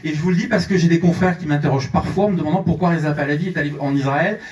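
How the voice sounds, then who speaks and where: A man's voice: on the high side, very fast, a little mellow, far from the mic, lo-fi sound. Impassioned male speaker in an echoey hall. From France and Iran, French-Iranian. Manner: fervent, repeats words when pressed. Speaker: journalist speaking at a conference. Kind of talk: impassioned conference speech. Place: large theatre hall.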